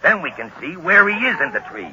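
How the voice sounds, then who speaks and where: An actor playing a cold, scheming American gang boss with tough, commanding, slangy talk in a 1930s radio drama. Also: male voice, fairly high pitch, sing-song, a little fast, a crowd in the background. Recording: dull, hissy 1930s radio recording.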